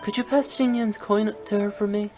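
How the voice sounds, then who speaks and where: A woman's voice: medium pitch, fairly even intonation, moderate pace, over music, close to an everyday voice. A woman voicing a playful young funeral director with a cheeky, bubbly, teasing manner, in a video game.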